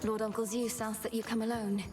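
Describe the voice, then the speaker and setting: A woman voicing an earnest young goddess princess in a game, speaking in a formal, measured way. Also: on the high side, flat delivery, music in the background, lightly acted. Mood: earnest, reflective. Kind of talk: scripted game voice acting.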